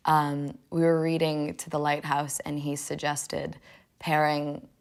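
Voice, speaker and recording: An American woman in her twenties, speaking and reading poetry with measured, deliberate phrasing. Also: deep voice, creaky. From United States, Illinois. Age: 20s. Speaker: law student reading a poem. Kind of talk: personal reflection and poetry reading. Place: indoors, furnished living room.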